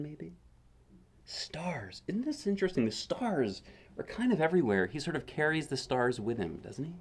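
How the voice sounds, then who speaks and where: A man's voice: mid-range voice, a little mellow. A man reading a picture book aloud as a dad would at bedtime, expressively, with casual, wondering asides.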